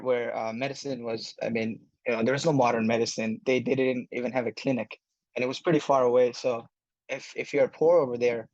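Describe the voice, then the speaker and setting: A young man from Bangladesh, an incoming medical student, answering interview questions thoughtfully with many pauses and fillers. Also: mid-range voice, dry recording, muffled recording. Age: young adult.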